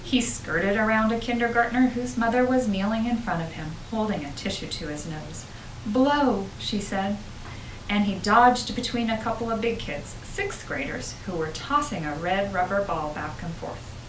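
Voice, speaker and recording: A woman's voice: medium pitch, normal speed. Roomy, distant woman reading a children's story. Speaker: school principal reading aloud. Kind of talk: children's audiobook narration. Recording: roomy, distant, noisy home-style recording.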